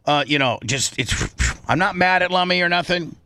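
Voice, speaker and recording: A man hosting a casual radio talk show, speaking in a halting, rambling way on a close microphone. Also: high-pitched, pushed and bright, no echo. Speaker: host and boss of the show. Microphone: close studio microphone.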